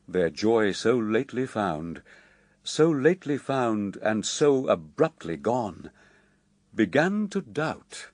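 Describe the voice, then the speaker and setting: A solemn poet narrator of a sacred radio drama. His low voice moves with great variety of pitch, declaiming grave verse very slowly. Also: darkish tone, no room sound, full character voice.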